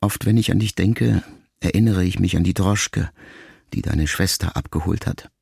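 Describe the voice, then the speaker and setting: An actor playing a pensive, melancholy grown son in a radio drama monologue, speaking to his mother in a gravelly, measured, intimate way. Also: sits low, a little mellow, dry recording, clean studio sound, full character voice. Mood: reflective, melancholy. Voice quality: gravelly, creaky.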